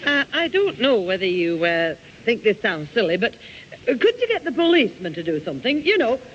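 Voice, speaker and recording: Fussy, impatient scolder, very high, muffled vintage radio comedy with audience. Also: male voice, wildly swooping pitch, a little fast, fairly bright tone, full character voice. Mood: fussy, scolding. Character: fussy, scolding grown-up with little patience. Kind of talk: old-time radio comedy acting. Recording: muffled, noisy old radio recording, audience.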